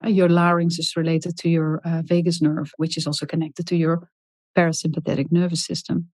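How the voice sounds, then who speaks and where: A middle-aged woman speaking in a calm, soft, deliberate way as a podcast guest, with many small pauses and ums. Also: sits low, no echo. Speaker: guest expert on leadership. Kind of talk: podcast interview.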